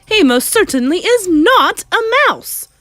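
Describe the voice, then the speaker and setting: A woman's voice: extremely high pitch, sing-song, measured pace, fairly bright tone, dry recording, studio quality, faint music behind, pitched above the performer's own voice, exaggerated voice. A woman voicing a pompous, outraged middle-aged English father in a storybook, spluttering with indignation. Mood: furious.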